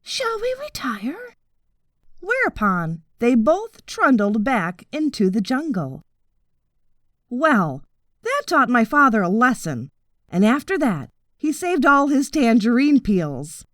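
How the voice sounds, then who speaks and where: A woman narrates a children's audiobook at an unhurried pace. Her expressive delivery swings widely in pitch, and the recording is close and dry. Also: dry recording. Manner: expressive, deliberate storytelling. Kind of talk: scripted children's story narration.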